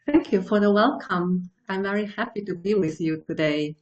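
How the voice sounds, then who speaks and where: A woman in her sixties from Switzerland, a meditation teacher answering interview questions in a thoughtful way, pausing to find her words. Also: mid-range voice, highs cut off, faint music behind. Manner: slow, thoughtful, searching for words.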